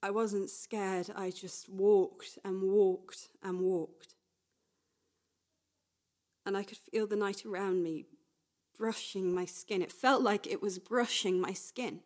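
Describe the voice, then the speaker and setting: A woman's voice: medium pitch, steady tone, takes it slowly, darkish tone, close to an everyday voice. A woman playing a defiant, reflective character who recalls her memories plainly in a radio play monologue. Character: defiant, reflective woman remembering a night walk.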